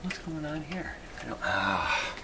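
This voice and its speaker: A man thinking aloud in an unscripted workbench video, halting and puzzled, muttering to himself in a self-deprecating way. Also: on the high side, sing-song. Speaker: host of a radio repair video. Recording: clearly noisy hobby video recording.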